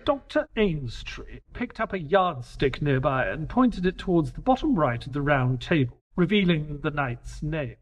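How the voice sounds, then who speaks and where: Male narrator as detective's earnest companion: dramatic scripted storytelling, lighter high register, expressive swings. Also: normal speed, hardly any pauses. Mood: intrigued.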